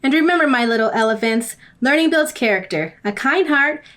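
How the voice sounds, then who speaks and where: A young adult woman hosting a children's channel, reading a picture book aloud in an expressive, sing-song way. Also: sits high, a little fast. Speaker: children's story reader. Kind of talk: read-aloud storytelling for children.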